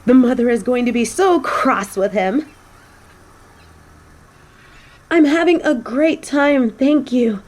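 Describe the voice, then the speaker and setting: A woman playing a snobby but secretly soft fallen angel in a scripted audio roleplay, with prim, formal phrasing. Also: high-pitched, lively intonation, unhurried, a little hiss or hum. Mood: excited.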